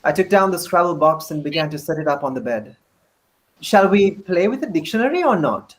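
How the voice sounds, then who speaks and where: A male actor gives a dramatic reading of a first-person novel. His voice sits a little high for a man, and he narrates in a measured, deliberate way. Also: sing-song, poor audio.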